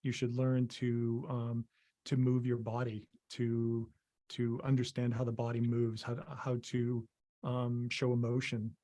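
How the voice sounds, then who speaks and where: A middle-aged man from Maine, a guest on a video call, speaking in halting, casual sentences full of ums and restarts. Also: mid-range voice, monotone, mellow. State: losing his voice, clearing throat. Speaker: interview guest, visual effects artist.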